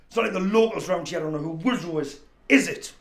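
A man's voice: sits high, fast talker, a bit bright, echoey room. An actor playing a volatile young male criminal on the run, hot-tempered and barking orders. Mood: angry.

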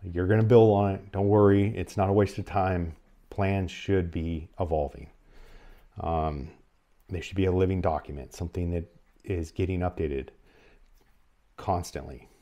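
A North American man in his forties explaining practical advice to camera in measured, halting phrases. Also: low voice, fairly even intonation, mellow. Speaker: presenter of an emergency radio advice channel. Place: small furnished room at home, indoors.

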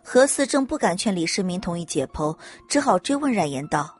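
A woman's voice: lowish voice, sing-song, fairly quick. A woman reading scripted audiobook narration as the storyteller. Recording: music under the narration.

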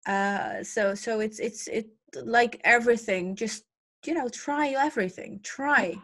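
A woman's voice: a little high, a little slow, a bit bright. A middle-aged woman whose first language is Dutch, an illustrator talking animatedly about her watercolor workflow on a video call, often restarting her words. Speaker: traditional illustrator on an online panel. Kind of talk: casual conversation about art workflow. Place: cluttered art studio, indoors.

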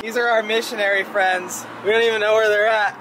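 Upbeat young man vlogging, high voice. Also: level tone, brisk, heavy background noise. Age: young adult. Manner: joking, upbeat. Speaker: vlogger host. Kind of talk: casual vlog chat. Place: restaurant, hotel and theater, indoors. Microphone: handheld camera at arm's length.

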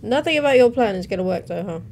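A woman's voice: mid-range voice, lively intonation, fast, a little mellow. Young woman reacting casually, noisy background. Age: young adult. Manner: informal, interjecting between read-out captions. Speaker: reaction video host. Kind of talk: casual reaction commentary, reading captions aloud. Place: small room at home, indoors. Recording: noticeable background noise.

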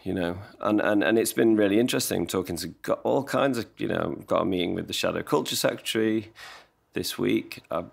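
Deep gravelly guy in his 40s rambling on a video call from his living room, lots of restarts. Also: a little fast. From England. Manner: rambling, self-deprecating, many restarts. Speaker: guest songwriter. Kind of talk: casual podcast conversation. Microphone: desk mic on stand, video call.

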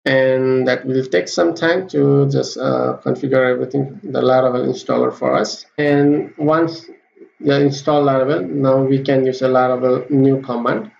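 A man giving a screen-recorded coding tutorial, talking haltingly with many fillers and restarts. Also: medium pitch, fairly even intonation, gravelly, darkish tone. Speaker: programming tutor. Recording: roomy, dull lo-fi with faint hiss.

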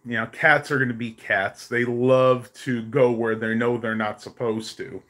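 A man's voice: mid-range voice, flat delivery, unhurried, a bit bright. A man, chatting casually on a home live stream while losing his voice, rambling with many ums, filmed on a phone at arm's length in a small bedroom. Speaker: vlogger. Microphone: phone held at arm's length.

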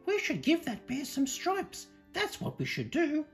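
A gentle storybook zebra lady as a woman reader puts her on, soft-spoken and prim. The voice sits high and moves earnestly at a slow pace. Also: wildly swooping pitch, faint music behind, heavily acted. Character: prim, gentle female zebra from a picture book.

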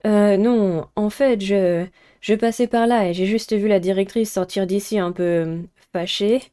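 A woman's voice: mid-range voice, fairly even intonation, unhurried, dark tone, smooth, close to an everyday voice. A woman voicing a curious, hesitant new schoolgirl, the heroine of a visual novel game.

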